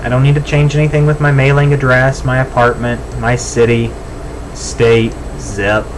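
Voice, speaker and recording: An American man talks through a software walk-through in an informal, step-by-step way. His voice sits at a middling pitch. A faint layer of background noise runs under his instructions. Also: flat delivery, moderate pace.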